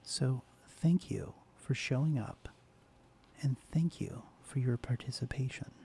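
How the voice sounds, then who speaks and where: A man hosting a soft-spoken ASMR guided meditation, speaking slowly and gently, often dropping into a whisper. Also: mid-range voice, a little hiss or hum. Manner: slow, soft, partly whispered. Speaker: ASMR host.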